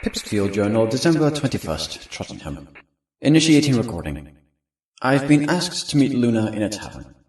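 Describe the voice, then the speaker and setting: A man playing a weary, earnest pony spy recording an audio journal in a measured, wistful way for an audio drama. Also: medium pitch, big pitch swings, a little mellow, close to an everyday voice. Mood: somber, wistful. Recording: roomy, distant-miked journal recording.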